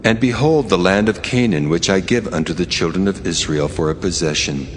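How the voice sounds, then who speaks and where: An actor playing the Lord God as a stern, grave, commanding divine voice in a dramatized scripture reading. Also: male voice, low-pitched, fairly quick, lightly acted. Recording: long cavernous reverb with faint noise.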